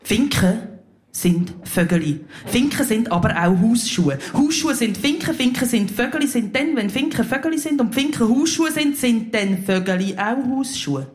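Playful Swiss slam poet woman, unhurried. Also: lively intonation. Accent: Swiss German. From Switzerland. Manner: playful, word-punning, swooping up and down in pitch. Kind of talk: live spoken-word performance. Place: stage before a live audience. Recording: roomy live stage sound.

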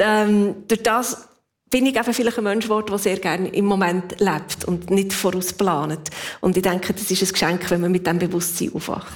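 A woman in her fifties with a Swiss German accent, telling reflective stories in a casual conversation and laughing often. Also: mid-range voice. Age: 50s. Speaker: guest.

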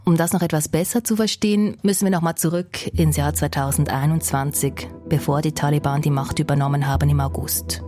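A woman hosting a news podcast, reading a scripted narration in a calm, measured way. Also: sits low, faint music behind. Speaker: podcast host.

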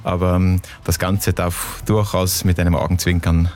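Deep-voiced musician, self-ironic. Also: male voice, flat delivery, normal speed, darkish tone. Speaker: singer and guitarist of a band. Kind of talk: interview soundbite.

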